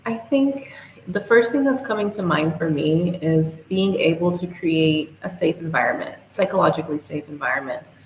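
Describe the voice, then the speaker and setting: Young woman guest, low and earnest, slightly echoey remote call. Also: expressive, moderate pace, darkish tone. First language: English. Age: young adult. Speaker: podcast guest. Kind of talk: interview answers. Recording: echoey room, faint hiss.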